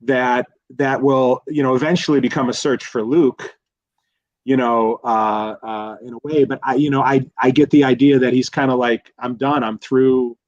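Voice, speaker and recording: Male guest expert, mid-pitched, analytical but casual, circles back on his words, muffled lo-fi sound. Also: fairly even intonation, no echo. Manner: halting, repeats words while thinking aloud.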